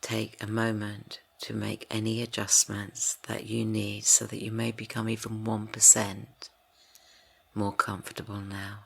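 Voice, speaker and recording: A man guiding a yoga nidra rest for grief, speaking slowly and softly, drifting into whispers. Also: on the low side, monotone, gravelly, poor audio. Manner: slow, soft and soothing, drifting into whispers. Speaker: meditation guide. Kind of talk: guided yoga nidra meditation.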